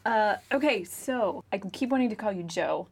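A woman's voice: medium pitch, expressive, moderate pace, a little mellow, husky. A woman hosting a casual interview, asking short, friendly questions. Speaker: host, interviewer.

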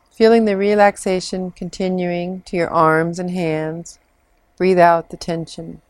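A woman leading a guided imagery meditation in a soothing, measured manner with long pauses. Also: fairly low pitch, darkish tone, dry recording. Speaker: meditation guide, clinical social worker.

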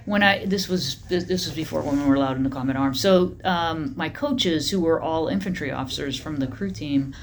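A middle-aged woman army general answering interview questions in a matter-of-fact, steady way. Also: low voice, a little fast, hardly any pauses, slight background noise.

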